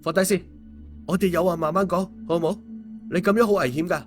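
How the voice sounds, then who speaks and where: A male storyteller plays an excitable, cowardly man who complains and wheedles in speech. The voice rides high, in audiobook dialogue over faint music. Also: brisk, lightly acted. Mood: frightened pleading.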